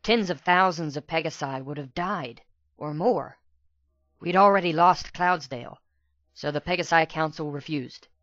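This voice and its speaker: A young pegasus mare from a fantasy storybook, acted out by a man, speaks softly and earnestly in a raised pitch. She sounds hesitant, in a dry, slightly dull recording. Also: normal speed, no echo, close to an everyday voice. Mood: sad, earnest. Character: earnest, soft-spoken young female pegasus pony.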